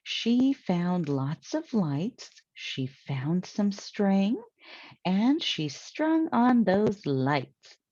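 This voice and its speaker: A woman with an American accent reads a children's story aloud in an animated, deliberate way. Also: medium pitch, no room sound, dull audio. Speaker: storytime reader.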